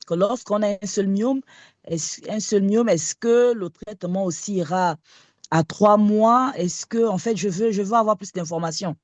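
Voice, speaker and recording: A woman in her late thirties calls in to ask a question, speaking in a hesitant, halting way with many fillers. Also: medium pitch, a little mellow, dry recording. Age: 30s. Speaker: caller asking a question. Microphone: online video call.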